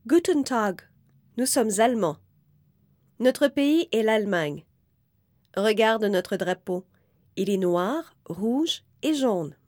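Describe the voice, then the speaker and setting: Slow, careful woman reading to kids. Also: medium pitch, expressive, a little mellow. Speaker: reader of a children's book. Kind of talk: slow, clear read-aloud for young learners.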